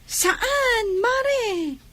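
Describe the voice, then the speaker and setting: An actress with a Filipino accent playing a cheerful, teasing friend in a radio drama. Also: female voice, very high-pitched, lively intonation, drawn-out words, pushed and bright, exaggerated voice. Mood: bubbly, pleased. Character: cheerful, teasing woman friend, happily married. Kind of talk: Ilocano radio drama acting.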